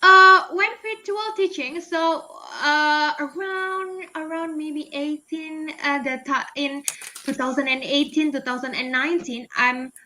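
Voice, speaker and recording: Young indonesian woman on a webinar call, high bright voice, chatty and laughy. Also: steady tone, unhurried, poor audio. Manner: chatty, laughs often, many fillers and restarts. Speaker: guest speaker, English teacher. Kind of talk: webinar Q&A answers.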